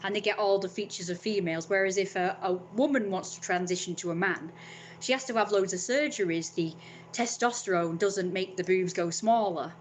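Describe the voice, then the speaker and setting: A woman's voice: mid-range voice, fairly quick, slight background noise, rough recording. A young woman, talking in a frank, rambling vlog monologue and swearing freely.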